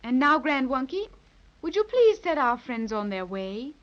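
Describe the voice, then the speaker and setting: A muffled 1930s radio recording of a gracious fairy queen. Her voice is high, and she speaks with gentle, regal care and kindly authority. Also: sing-song, measured pace, heavily acted. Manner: gentle, regal, carefully enunciated.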